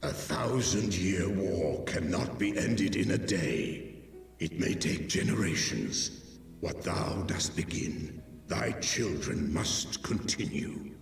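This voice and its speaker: Immense, gravelly, cavernous ancient dragon. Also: male voice, lively intonation, slow pace, heavily acted. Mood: solemn counsel. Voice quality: deep, gravelly. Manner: archaic, stately, portentous speech. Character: ancient, solemn male dragon, huge and grave. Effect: cavernous reverb on the voice. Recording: echoing long reverb, distant, faint music.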